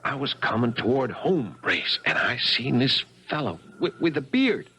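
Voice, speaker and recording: An actor playing a simple, timid country brother, stammering and confused, in a 1970s radio mystery drama. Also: male voice, mid-range voice, very animated, pushed and bright. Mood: scared, rambling. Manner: stammering, slow-witted, questioning. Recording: noisy old radio broadcast.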